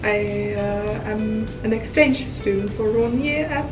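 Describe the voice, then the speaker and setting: A woman's voice: medium pitch, slow pace, distant mic, over loud music. Young woman from Germany, student, chatty, laughs as she talks, echoey room, off-mic. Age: young adult. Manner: laughs through her words, chatty. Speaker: exchange student.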